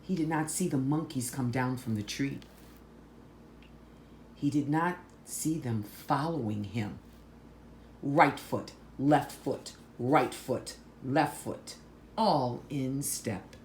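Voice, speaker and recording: A woman reading a picture book aloud to children, phrasing deliberately and stressing words for drama. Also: a bass voice, darkish tone, slight background noise. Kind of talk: slow, expressive picture-book read-aloud.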